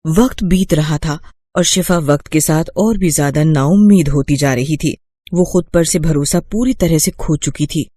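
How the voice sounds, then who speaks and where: A male narrator tells a scripted moral tale. His voice sits somewhat high for a man, with the measured phrasing of storybook reading. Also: mellow, dry recording.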